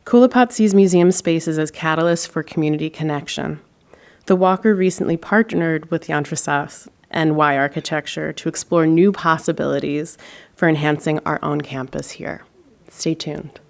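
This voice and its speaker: A woman with a notably low voice reads a prepared introduction for a lecture guest. She speaks into a stage microphone in a measured, welcoming tone. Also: gravelly. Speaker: event host introducing the speaker. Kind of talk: read introduction. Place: lecture hall.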